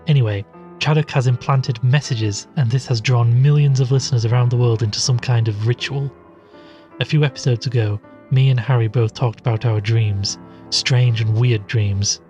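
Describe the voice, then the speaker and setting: A man playing a sceptical, weary investigator in an audio drama, measured and questioning as he talks and narrates. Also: mid-range voice, steady tone, darkish tone, highs cut off, faint music behind, close to an everyday voice. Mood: recapping. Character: sceptical, weary male investigator recounting strange events.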